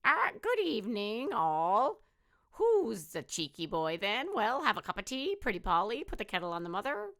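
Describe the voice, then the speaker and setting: Parrot skeleton played by a woman: chirpy, cheeky, raised pitch, storybook pet-bird chatter. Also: wildly swooping pitch, normal speed, fairly bright tone, full character voice.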